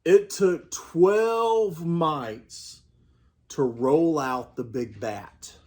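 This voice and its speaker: An older man reading a children's storybook aloud with expressive, deliberate storytelling. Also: on the high side, husky. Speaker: grandparent storyteller. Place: outdoors.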